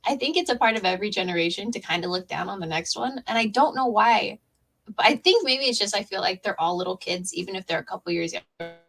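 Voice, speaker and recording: A young woman hosting a podcast, chatting casually with quick, bubbly reactions. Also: medium pitch, gravelly, fairly bright tone, low-quality recording. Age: young adult. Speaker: podcast host.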